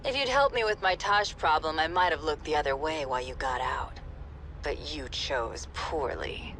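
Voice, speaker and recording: A woman playing a cold, smug military operative in a video game cutscene, with a cool, deliberate, mocking delivery. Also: sits low, a bit bright, a little hiss or hum. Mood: smug, taunting.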